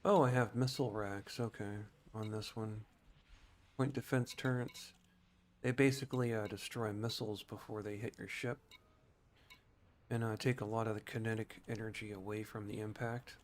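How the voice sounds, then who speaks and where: A man's voice: fairly low pitch, fairly even intonation, moderate pace. A man giving casual gameplay commentary as a streamer, thinking aloud and explaining things informally. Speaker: game streamer.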